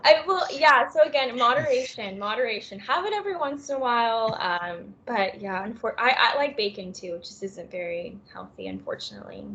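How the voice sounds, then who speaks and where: A woman's voice: fairly high pitch, big pitch swings, fairly quick, hardly any pauses, a bit bright, slight background noise. A young woman in her twenties, leading a casual health Q&A on a video call, talking in a chatty way with plenty of fillers and laughs. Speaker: wellness presenter. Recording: slightly dull video call audio.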